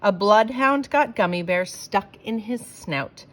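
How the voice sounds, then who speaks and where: A woman reading rhyming verse aloud for children in an expressive, theatrical storytelling way, leaning on the rhymes. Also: mid-range voice, slow.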